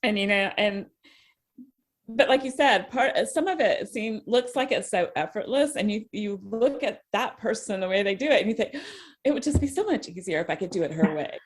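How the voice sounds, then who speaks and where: Halting woman in casual conversation. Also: mid-range voice, lively intonation. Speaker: novelist guest on a podcast. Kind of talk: casual interview chat.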